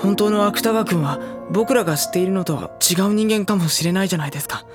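An actor playing a guarded, skeptical high school boy in a Japanese audio drama, polite in wording but blunt. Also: fast talker, over music, close to an everyday voice. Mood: irritated, doubting. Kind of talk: Japanese audio drama dialogue and inner narration.

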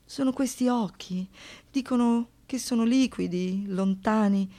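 A woman's voice: on the high side, measured pace, warm tone. Shaken but defiant young woman survivor. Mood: lonely, trembling. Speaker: monologue performer and narrator. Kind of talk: dramatic radio theatre monologue.

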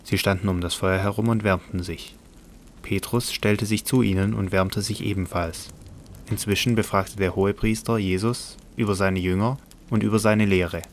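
Deep, even-toned male narrator. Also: moderate pace, slight background noise. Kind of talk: scripted Bible audio drama narration.

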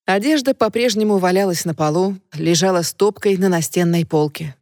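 Low-voiced woman reading a gothic novel. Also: normal speed, darkish tone, no room sound, clean studio sound. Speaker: audiobook narrator. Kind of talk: first-person audiobook narration.

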